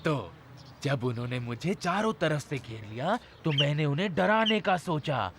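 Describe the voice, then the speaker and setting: A man's voice: on the high side, animated, moderate pace, background noise. A man voicing a jovial, teasing old grandfather zebra in a children's cartoon, with theatrical storytelling. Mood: playful storytelling. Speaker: voice actor. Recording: music behind the dialogue. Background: music.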